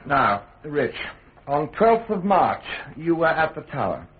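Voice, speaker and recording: An actor with a British accent playing a hostile, pompous state prosecutor, insistently cross-examining in a radio drama. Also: male voice, on the high side, sing-song, slow, phone-quality sound. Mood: brisk, probing. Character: hostile, sardonic state prosecutor, forceful and scheming. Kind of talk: radio drama courtroom acting.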